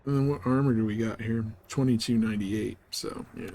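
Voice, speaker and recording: A man's voice: lowish voice, fairly even intonation, fairly quick, dark tone. Man casually commentating over noisy game audio. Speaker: live streamer playing a space flight game.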